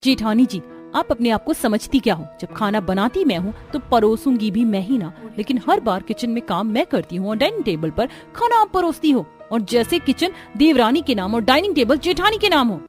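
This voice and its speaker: A woman's voice: a little high, sing-song, brisk, faint music behind. A woman voicing a resentful, complaining young daughter-in-law in a cartoon, with a sarcastic, dramatic, nagging manner. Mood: indignant, sarcastic.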